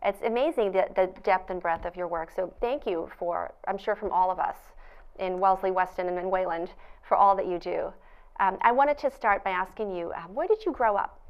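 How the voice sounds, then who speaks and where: A woman's voice: mid-range voice, a little fast, lo-fi sound. A woman hosting an interview show in a studio, speaking in an effusive, admiring way. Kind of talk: formal scripted introduction, then conversational interviewing.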